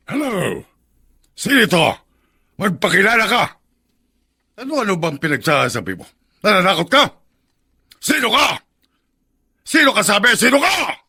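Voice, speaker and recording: A middle-aged Filipino politician in a radio drama. His voice is raspy and sharp, swinging widely as he blusters. He is irritable, suspicious and demanding. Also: high voice, heavily acted. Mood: angry, alarmed. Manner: forceful, demanding.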